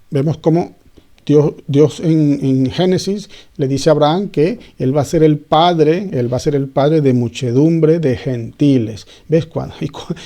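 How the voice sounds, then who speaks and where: A man's voice: medium pitch, mellow. A man giving an informal Bible teaching, talking conversationally with frequent restarts and checking questions to his listeners. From Spain.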